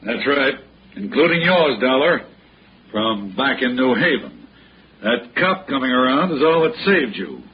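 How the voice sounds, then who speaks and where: An American actor playing a menacing, gloating criminal brother in a sneering 1960s radio crime style. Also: male voice, mid-range voice, big pitch swings, a little slow. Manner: sneering, taunting. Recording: muffled, hissy old radio broadcast.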